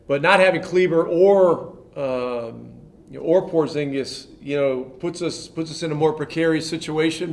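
Older male coach at a post-game presser, a touch high-pitched, halting with fillers, close mic. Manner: measured, many fillers and restarts. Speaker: head coach at a press conference. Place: press room in front of a sponsor backdrop.

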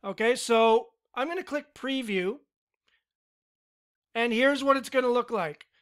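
Smooth-voiced man walking through software. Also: very high voice, measured pace. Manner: step-by-step, relaxed, with fillers. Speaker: tutorial instructor. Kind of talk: screen-recorded software tutorial.

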